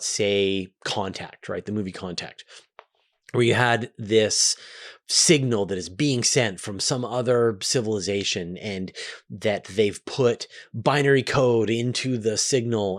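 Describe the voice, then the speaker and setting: A man hosting a question-and-answer show, explaining things conversationally in an animated way with rhetorical questions. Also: lowish voice. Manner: animated, rhetorical questions, acts out imagined quotes. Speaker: host of a space Q&A show.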